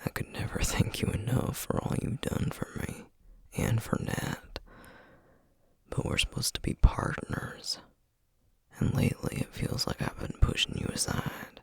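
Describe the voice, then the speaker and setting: A man playing a gentle, burnt-out sorcerer dad with a Southern American accent in a whispered ASMR roleplay, halting and full of self-doubt. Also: dry recording, studio quality, lightly acted. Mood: tender, apologetic. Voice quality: hushed, breathy whisper. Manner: halting, confiding, with sighs and trailing pauses.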